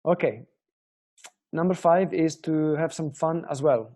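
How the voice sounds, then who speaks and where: A man's voice: fairly high pitch, unhurried, a little mellow. A young man from Italy with an Italian accent, teaching guitar in an earnest, explanatory way and often asking viewers questions. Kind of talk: online guitar lesson talk.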